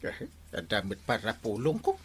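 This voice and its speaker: An actor playing a flustered, evasive village captain in a comedic radio drama, hesitant and stammering with squeaky exclamations. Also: male voice, wildly swooping pitch, moderate pace, slightly rough, heavily acted.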